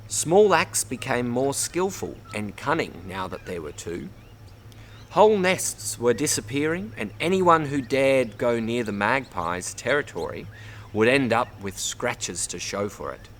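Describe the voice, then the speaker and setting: An Australian man reads a children's story aloud in an expressive way, addressing young listeners as a storyteller. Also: mid-range voice, unhurried, slight background noise. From Bundaberg, Queensland, Australia. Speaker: storybook reader. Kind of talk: read-aloud children's story, narration with word teaching.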